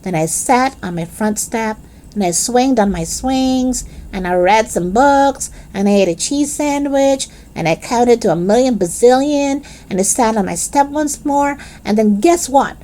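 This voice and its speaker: A woman narrates a children's chapter book in a fairly high voice. Her pitch swings widely as she tells it in a young child's first person, with dramatic, animated delivery. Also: a little slow. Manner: animated, dramatic character reading.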